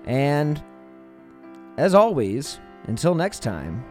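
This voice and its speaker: A man's voice: a little high, sing-song, slow, darkish tone, faint music behind. A man hosting a podcast, talking in a conversational interviewing style. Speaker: podcast host. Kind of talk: conversational interview and show sign-off.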